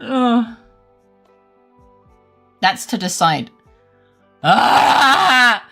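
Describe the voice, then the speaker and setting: A young woman streamer chatting casually while gaming, animated and swearing freely with frequent laughs. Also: fairly high pitch, very slow, gravelly, faint music behind. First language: English.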